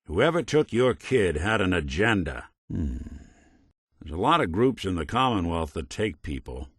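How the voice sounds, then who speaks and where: Low-voiced, wry android noir detective. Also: male voice, big pitch swings, normal speed, slightly rough, dry recording, studio quality, heavily acted. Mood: investigative. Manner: wry, clipped hardboiled phrasing. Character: world-weary synthetic android private detective, tough, sharp, gruffly kind. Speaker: video game character. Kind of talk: noir detective game dialogue.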